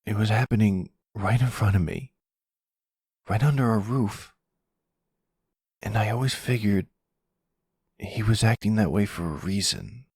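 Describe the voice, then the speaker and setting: A man's voice: fairly low pitch, a little slow, pauses often, darkish tone, slightly rough, dry recording, studio quality, pitched above the performer's own voice. A man voicing an earnest, casual young man, the son in a roleplay audio drama. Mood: shaken, remorseful. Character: earnest young man, mob boss's partner's troubled son.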